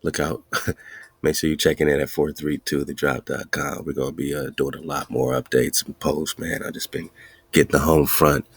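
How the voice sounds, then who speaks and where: Male host, very low and monotone, husky; emphatic sermon-like talk, close dry sound. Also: no echo. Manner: slow, emphatic, repetitive, with laughs. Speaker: podcast host. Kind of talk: casual preaching-style monologue.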